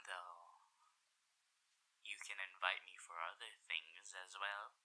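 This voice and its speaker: A man's voice: sits low, flat delivery, slow pace, bright, forceful tone, heavily acted, rough recording. A man voicing a suave, flirtatious radio demon with a theatrical showman's delivery in a roleplay audio for the listener, moving between bold exclamations and intimate murmurs. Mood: jokey, showy. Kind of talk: character roleplay ASMR addressed to the listener.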